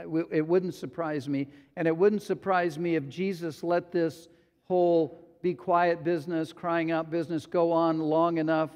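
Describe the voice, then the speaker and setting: A male pastor preaching a sermon in a slow, deliberate way, sometimes acting out quotes. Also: on the high side, a little mellow. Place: church sanctuary. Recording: long hall reverb.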